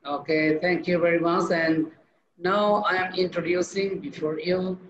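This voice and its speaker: A man's voice: high-pitched, steady tone, measured pace. A man with a Bangladeshi accent, a teacher moderating an online discussion and introducing the speakers. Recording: echoey, muffled, noisy call.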